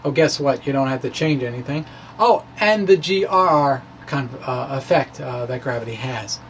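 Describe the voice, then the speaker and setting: A man's voice: mid-range voice, lively intonation, normal speed, fairly bright tone, a little hiss or hum. A man informally presenting his own science blog, wry and playful, often hesitating mid-phrase. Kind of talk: dramatic reading of a physics blog, informal explaining.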